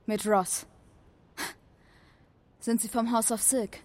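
Hostile, high-voiced teenage girl. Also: fairly even intonation, slow, a little mellow, no room sound. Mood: suspicious. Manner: defiant, aggressive. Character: thin, hostile, frightened sixteen-year-old street girl. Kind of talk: German radio play acting.

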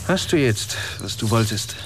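An actor playing a proud, cynical, paralysed inventor in a German radio drama, with cutting sardonic delivery in standard German. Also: male voice, on the low side, animated, moderate pace, a little hiss or hum, lightly acted. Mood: dry, mocking.

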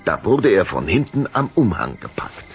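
A man's voice: deep voice, sing-song, moderate pace, faint music behind. A man narrating a children's vampire audio drama as a dramatic, suspenseful storyteller.

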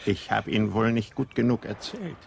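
An actor playing a dry, ironic British police inspector in a radio drama, full of sly understatement. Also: male voice, lowish voice, animated, normal speed, gravelly, a little hiss or hum. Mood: sardonic. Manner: wry understatement, mock-casual.

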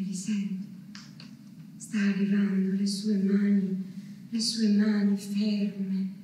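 An actress performing a theatrical monologue as an anguished wartime woman waiting for her missing husband, with slow, halting, intense delivery. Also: medium pitch, mellow, lightly acted. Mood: shaken, pleading. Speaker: stage actress. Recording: echoey hall, distant mic, faint noise.

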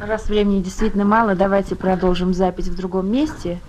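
Female interviewer, medium pitch, informal questioning, faint noise on a location recording. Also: steady tone, fast, a little mellow. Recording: slightly noisy location recording with clicks.

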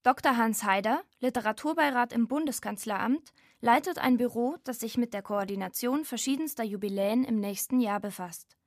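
A woman's voice: a little high, fairly quick, no room sound, studio quality. A woman reading scripted documentary narration as the presenter.

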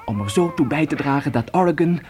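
A man's voice: fairly high pitch, expressive, fairly quick, a little mellow, lightly acted. A man playing a determined, commanding adolescent pioneer boy in a radio play, speaking with earnest urgency. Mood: grave, urgent. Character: determined adolescent pioneer boy leading his siblings westward.

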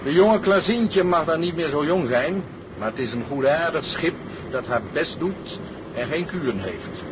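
Man acting a measured, thrifty old sea captain. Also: on the high side, expressive, background noise, close to an everyday voice. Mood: steady, satisfied. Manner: measured, paternal, with hums and repeated murmurs. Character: thrifty, steady older Groningen sea captain. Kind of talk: radio drama acting.

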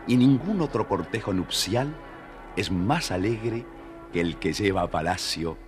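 A man narrating a scripted children's fairy tale as an expressive, dramatic storyteller. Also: on the low side, unhurried, faint music behind.

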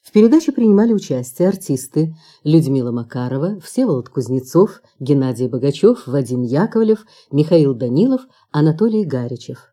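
A woman's voice: deep voice, big pitch swings, brisk, few pauses, mellow. A woman reading a formal, scripted announcement as a Soviet-era radio announcer.

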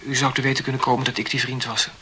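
An actor playing an earnest, awkward young medical student who talks politely and over-explains in a radio play. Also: male voice, mid-range voice, flat delivery, racing, background noise, close to an everyday voice. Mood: awkward, confessional.

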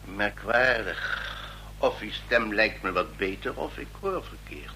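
An actor playing a puzzled, courteous physician in a radio drama, formal and hesitant. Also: male voice, on the low side, expressive, slow, pushed and bright, full character voice. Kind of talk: Dutch radio drama acting. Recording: faint noise and hum, old radio recording.

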